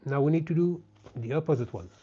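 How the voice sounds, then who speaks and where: A man with a French Canadian accent, a native French speaker, chatting gently and casually as he hosts a live craft stream. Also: fairly high pitch, quick pace, slight background noise. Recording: dull, lo-fi sound.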